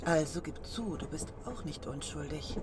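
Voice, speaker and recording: An actress playing a teasing, candid wife with a coaxing, playful way of talking in radio drama dialogue. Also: female voice, low voice, expressive, normal speed, very noisy.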